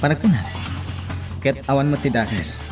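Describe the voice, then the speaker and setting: An actor with an Ilocano accent playing an earnest, apologetic priest in a radio drama. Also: male voice, medium pitch, expressive, moderate pace, music in the background, lightly acted. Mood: concerned. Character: Padre Simon, earnest apologetic village priest.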